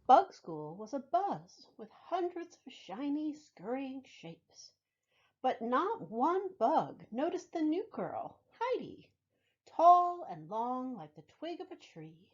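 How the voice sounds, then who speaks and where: High, animated woman reading to children. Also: breathy edge, lo-fi sound. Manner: expressive, deliberate phrasing for young children. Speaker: library storytime reader. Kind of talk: children's picture-book read-aloud.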